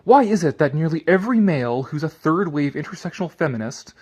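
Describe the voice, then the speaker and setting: A man's voice: a little high, animated, fast, a little mellow, slightly rough. A man giving a sardonic commentary and theatrical reading of an article, drifting into rambling asides.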